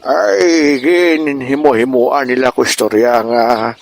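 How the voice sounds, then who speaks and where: A man's voice: mid-range voice, steady tone, slow, gravelly. A man acting a grumbling, indignant old village grandfather in a comedic radio drama, sighing and repeating himself.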